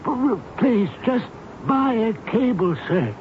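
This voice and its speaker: An actor in a radio drama playing a devoted, sentimental elevator operator who talks in a folksy, halting, earnest way. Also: male voice, very high voice, lively intonation, heavily acted. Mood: pleading. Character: devoted, pleading old-time elevator operator, gentle and sentimental. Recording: noisy muffled old radio recording.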